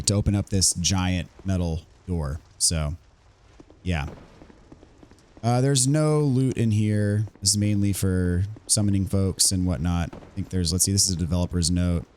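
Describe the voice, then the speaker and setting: A man with a hoarse voice he is still getting back, casually explaining a game walkthrough in a relaxed way, with frequent ums and restarts. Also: deep voice, unhurried. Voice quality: recovering from voice loss. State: hoarse, recovering voice. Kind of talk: casual gameplay commentary.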